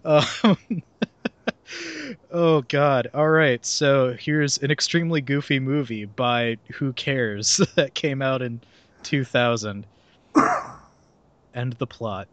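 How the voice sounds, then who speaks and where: A man's voice: mid-range voice, steady tone, takes it slowly, long pauses, no room sound, dull audio. A young man hosting a podcast, chatting casually and reading a summary aloud with sarcastic asides, often laughing mid-sentence. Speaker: podcast host.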